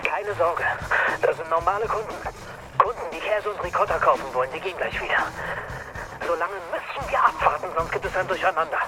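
An actor playing a keyed-up police surveillance officer who reports in urgent, clipped phrases in a radio crime drama. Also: male voice, high-pitched, a little fast, over music, natural voice, poor audio. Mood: calm, watchful.

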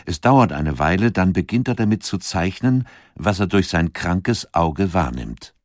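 Deep, calm male voice-over for an art documentary, close and dry. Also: unhurried, dry recording. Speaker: documentary narrator. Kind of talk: scripted narration.

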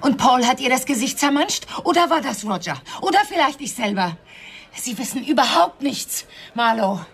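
An actress playing a cool, evasive widow with a guilty secret in a radio crime drama, controlled and often hushed. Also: female voice, sits high, sing-song, moderate pace, breathy edge, heavily acted. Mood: scornful. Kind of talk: 1990s German radio crime drama acting.

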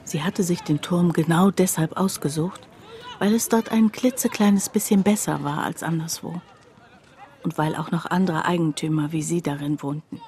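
An actress playing a reflective woman who narrates a radio drama about a destitute friend in a confiding, deliberate way, with a husky, breathy voice. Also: low voice, steady tone, a little mellow, close to an everyday voice. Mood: sober, pensive. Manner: confiding, deliberate, addressing the listener directly. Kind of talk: intimate radio drama monologue.

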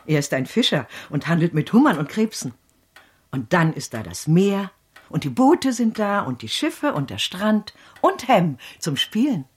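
A woman playing a blunt, warm-hearted, loyal country housemaid with a husky voice in a radio drama. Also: on the low side, big pitch swings, measured pace, full character voice. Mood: fond, coaxing.

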